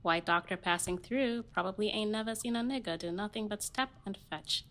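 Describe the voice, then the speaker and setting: A woman's voice: medium pitch, fairly quick, fairly bright tone, natural voice. A young woman playing a wry, restless newlywed wife in an audio play, with a dry, detached manner. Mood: dry, dismissive. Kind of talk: stage play audio reading.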